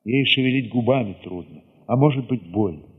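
A man with a husky voice reads a literary first-person story theatrically, moving between hushed passages and sudden swells. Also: medium pitch, fairly quick. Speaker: narrator reading an audio play. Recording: reverberant, slightly dull sound.

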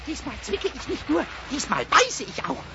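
A man voicing a cheeky small kobold with a fiery temper, excitable and repeating himself, in a children's radio play. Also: very high voice, moderate pace, gravelly, full character voice. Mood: furious, defiant. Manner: excitable, stammering repetitions, rhyming outbursts. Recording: dull, noisy 1970s radio recording.

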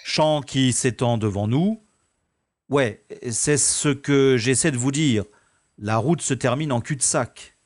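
A man's voice: mid-range voice, slow pace, lightly acted. A man playing a wisecracking male secret agent in a French science-fiction audio drama, with dry, ironic delivery. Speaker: lead character in an audio drama. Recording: rain and water sound effects behind.

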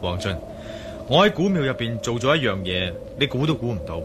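An actor with a Hong Kong Cantonese accent playing a determined, brooding male adventurer in a radio drama, expressive and given to musing aloud. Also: medium pitch, a little fast, noisy background, close to an everyday voice. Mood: grave, insistent.

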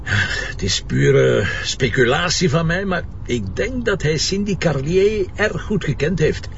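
A dogged, needling Flemish police commissioner in a radio drama. His voice is gravelly and swings freely in pitch. The recording is a little muffled. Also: expressive, a little slow, recorded from a distance, slight background noise. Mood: musing, confident. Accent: Flemish Belgian. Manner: wry, needling, colloquial. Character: shrewd, teasing, dogged male police commissioner. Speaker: actor. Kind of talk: naturalistic radio drama dialogue.

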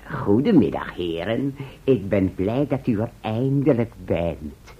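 An actor playing a verbose, self-satisfied town scholar in a radio play, speaking in pompous, formal, elaborate phrases. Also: male voice, fairly low pitch, big pitch swings, takes it slowly, husky, full character voice. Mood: pompous, pleased. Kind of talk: Dutch radio play acting. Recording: muffled vintage radio play recording.

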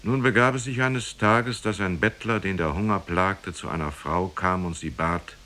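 An actor playing a grave storyteller, reciting an old parable in a solemn, measured, formal way for a 1950s German radio play. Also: male voice, low voice, fairly even intonation, sharp tone.

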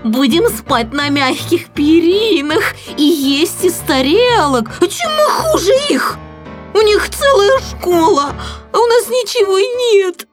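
A woman as a squeaky, sly little crook. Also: sing-song, slow, music in the background, heavily acted. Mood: envious, whining. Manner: wheedling, giggly, exclamatory. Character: sly, whining, long-nosed male spy bandit. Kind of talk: children's audio play acting.

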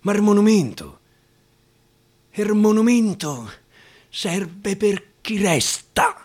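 Male stage storyteller, Roman accent, dramatic dialect recitation, very varied pitch and slow delivery over guitar. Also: big pitch swings. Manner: dramatic, repeating phrases for emphasis. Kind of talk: theatrical recitation of dialect verse. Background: acoustic guitar.

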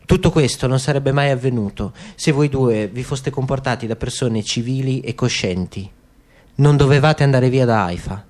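A man's voice: medium pitch, moderate pace, a little mellow, lightly acted. Male reader as young man, bitter, reproachful, insistent, controlled anger, radio play. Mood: cold reproach.

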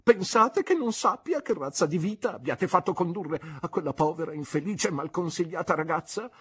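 A fierce, scornful older aunt, put on by a male reader, raised high above his usual voice. The tone is husky and breathy, and the pitch swings widely as she commands and mocks. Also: lively intonation, moderate pace, a bit bright, exaggerated voice. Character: fierce, sharp-tongued older aunt, a woman. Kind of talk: audiobook character reading.

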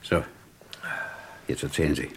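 A man's voice: unhurried, slight background noise, lightly acted. A man playing an impatient, scheming spymaster in a German radio crime drama, brusque and emphatic. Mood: probing. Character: impatient, scheming male spymaster ordering a job.

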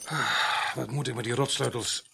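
A man acting in a Dutch radio drama as a harried, earnest village police sergeant who talks briskly and repeats himself when flustered. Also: mid-range voice, steady tone, vocal fry, very noisy. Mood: businesslike, impatient.

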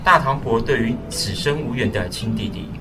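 A man's voice: medium pitch, lively intonation, moderate pace. A man reading scripted narration for a radio drama. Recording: distant voice under loud music.

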